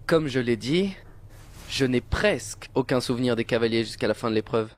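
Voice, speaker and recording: A man's voice: medium pitch, expressive, moderate pace, background noise, lightly acted. A man voicing an earnest, helpful teenage student in an anime fan dub, explaining things matter-of-factly. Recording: loud music under the voice.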